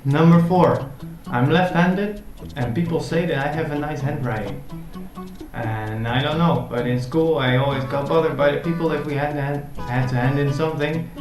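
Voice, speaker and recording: A man's voice: fairly high pitch, normal speed. A man in his twenties from the Netherlands with a Dutch accent, chatting casually to camera as a vlog host. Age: 20s. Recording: roomy echo, guitar music behind. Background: guitar music.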